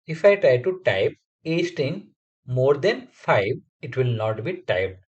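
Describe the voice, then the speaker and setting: A man walks through a coding lesson step by step, with lively intonation. His voice is a little husky. The audio sounds slightly dull and lo-fi. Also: mid-range voice, slow pace. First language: Hindi. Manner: explains step by step, methodical. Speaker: software testing instructor. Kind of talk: screen-recorded coding tutorial.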